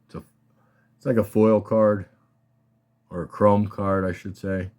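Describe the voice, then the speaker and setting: A man with a slightly scratchy voice, casually and haltingly talking through his collection as a hobbyist host. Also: on the low side, flat delivery, a little mellow. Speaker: collector hosting a card showcase. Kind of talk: casual rambling show-and-tell talk.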